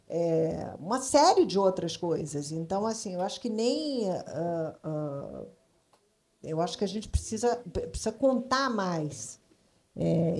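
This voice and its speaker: Give me a middle-aged woman from Brasília making emphatic points at a panel, her voice mellow and a little gravelly. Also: fairly low pitch. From Brasília, Brazil. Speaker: panelist, advertising professional. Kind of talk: live panel discussion. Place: stage at a public event.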